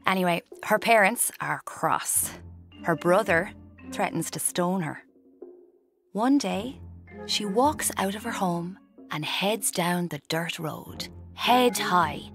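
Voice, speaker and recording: An actress playing a restless would-be writer in her twenties with an Irish accent, confiding drily in a radio drama. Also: lowish voice. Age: 20s. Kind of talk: radio drama, first-person confiding narration and dialogue.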